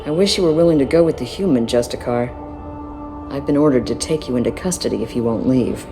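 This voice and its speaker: A woman's voice: extremely low pitch, a little fast. Tired lady police detective in a game, blunt and dutiful, echoing hall, bit of music. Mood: reluctant. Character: weary, plain-spoken alien police detective, woman, dutiful. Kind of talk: video game voice acting.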